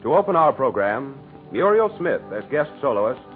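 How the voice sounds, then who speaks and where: An American man announcing a radio program in a formal, measured, scripted 1940s style, on a vintage broadcast recording. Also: medium pitch, very animated, phone-quality sound, faint music behind.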